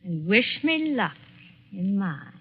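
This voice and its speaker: A woman's voice: mid-range voice, big pitch swings, slow, breathy edge, full character voice. An actress playing a young, bitter, jilted woman with controlled, pointed delivery in 1940s radio drama. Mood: icily vengeful. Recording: muffled 1940s radio recording.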